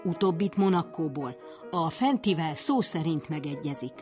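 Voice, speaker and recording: A woman reading a text aloud on the radio in a steady, deliberate way. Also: sits low. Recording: voice over music bed. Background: music.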